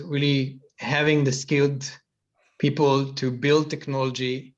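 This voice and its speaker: A man with an Israeli accent speaking on a panel over a video call, thinking aloud with many fillers. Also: mid-range voice. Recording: muffled video call audio.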